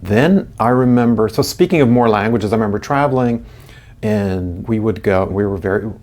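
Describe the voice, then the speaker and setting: A man's voice: fairly low pitch, normal speed, a little mellow. German-raised man in his sixties, reflective. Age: 60s. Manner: reflective, chuckling, many restarts. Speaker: guest. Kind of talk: casual interview chat.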